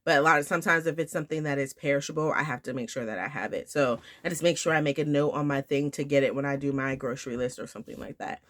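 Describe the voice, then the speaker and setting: A woman in her thirties talks casually to her viewers with a bright, forward tone. She sounds a bit nasal from a recent flu, and she rambles along with fillers and restarts. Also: rumbling low, fast talker, few pauses. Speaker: homeschool vlogger. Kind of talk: casual talk to camera.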